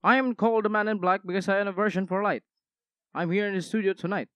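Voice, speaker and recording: Young man as high-voiced sinister radio horror host. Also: quick pace, sharp tone, smooth, dry recording. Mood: ominous. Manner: pompous, dramatic, snapping at times. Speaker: announcer introducing a radio thriller. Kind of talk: theatrical radio drama introduction.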